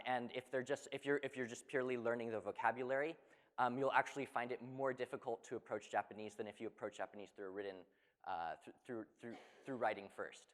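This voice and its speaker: A man answering audience questions about his startup, hesitant, with many ums and restarts. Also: mid-range voice. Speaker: startup cofounder, creative director. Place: event hall. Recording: long hall reverb.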